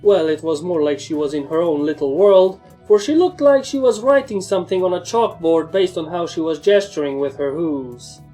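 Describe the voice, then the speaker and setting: A woman's voice: lowish voice, moderate pace, few pauses, warm tone, faint music behind, poor audio. A woman reading first-person fan fiction as a scripted story narrator.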